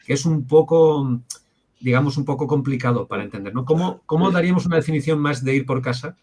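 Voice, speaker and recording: A man's voice: mid-range voice, fast, a little mellow, breathy edge. A man hosting a talk, stumbling a little as he asks the guest to put an idea into plain words. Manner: stammers at the start, asks plain questions. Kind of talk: interview questions.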